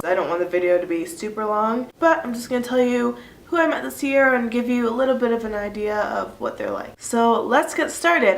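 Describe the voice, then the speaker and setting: A woman in her early twenties with a stuffy nose from a cold, chatting casually to her viewers with lots of fillers. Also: fairly high pitch. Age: early 20s. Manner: chatty, rambling, many "like" fillers. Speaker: vlogger. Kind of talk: casual chat to camera. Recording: echoey room.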